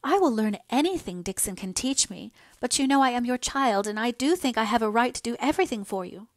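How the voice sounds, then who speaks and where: A woman's voice: on the high side, lively intonation, a little fast, no room sound, voice pushed up, close to an everyday voice. A woman voicing a devoted young daughter in an audiobook, earnest, fervent and pleading. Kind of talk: dramatised audiobook dialogue.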